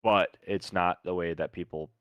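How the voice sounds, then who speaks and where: A man's voice: sits low, steady tone, moderate pace, clean tone, no echo. A man with a Midwestern accent, hosting a casual podcast chat, talking easily with fillers and repeated words. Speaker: podcast host.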